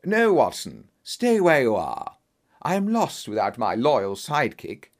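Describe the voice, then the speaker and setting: A man voicing a confident, observant gentleman detective in a storybook, with an assured, brisk and dry manner. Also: medium pitch, huge pitch swings. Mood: insistent, eager.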